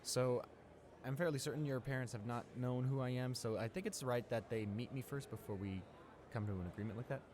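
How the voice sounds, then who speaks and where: A man's voice: mid-range voice, a little fast, lightly acted. Man playing a chatty teenage schoolboy, noisy ambience. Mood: friendly, upbeat. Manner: rambling, enthusiastic, hesitant with stammers and repeats. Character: friendly, eager, chatty male high school senior. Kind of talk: roleplay audio drama, casual one-sided conversation. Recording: clear background noise, ambience.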